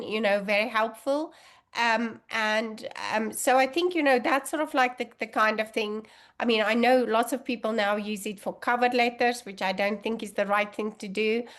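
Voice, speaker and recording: A woman's voice: a little high, a bit bright. A middle-aged woman whose first language is Afrikaans, talking casually as an interview guest with many fillers and restarts. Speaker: guest allergy researcher.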